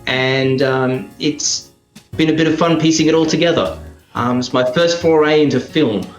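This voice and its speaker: A man chatting casually as an interview guest, often pausing mid-thought as he explains. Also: a little high, muffled recording, soft background music. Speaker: interview guest, radio play performer. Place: indoors at a heritage house. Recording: roomy echoing sound.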